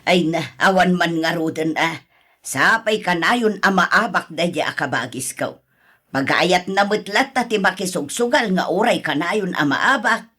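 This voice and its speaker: An actress with an Ilocano accent playing a concerned sister-in-law in a radio drama, frank and sympathetic in a conversational way. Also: female voice, fairly low pitch, lively intonation, unhurried, bright, forceful tone, husky, heavily acted. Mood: dismayed.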